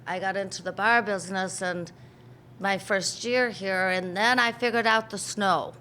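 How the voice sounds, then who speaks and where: A woman's voice: mid-range voice, steady tone, moderate pace, bright, forceful tone, a little hiss or hum. An Irish woman in her fifties, chatting casually in an interview and telling stories with easy laughs. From Ireland. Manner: chatty storyteller, laughs easily, acts out quotes. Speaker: pub owner, interviewee.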